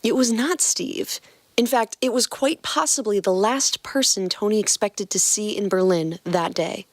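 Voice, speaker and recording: A woman's voice: medium pitch, a little slow, no room sound, studio quality. A woman podcast co-host who reads fan fiction aloud and trades playful banter with her partner.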